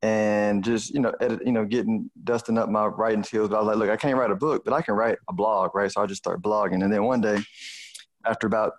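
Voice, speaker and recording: A man's voice: fairly low pitch, fairly even intonation, fast, rough recording. A man with a Houston, Texas accent chatting casually as a podcast host, colloquial and peppering his talk with "you know". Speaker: podcast host and financial advisor. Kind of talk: casual conversational chat.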